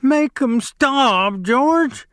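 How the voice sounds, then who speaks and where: Male reader as a big childlike ranch hand; high, pleading, frightened. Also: animated, extremely slow, a bit bright, clean tone, no echo, studio quality, exaggerated voice. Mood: terrified pleading. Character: big simple-minded man, terrified and childlike.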